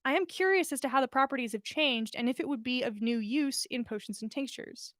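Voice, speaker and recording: A woman narrating a story aloud in an expressive storytelling style, as a podcast host reading fiction. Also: sits high, a little fast, dry recording, studio quality.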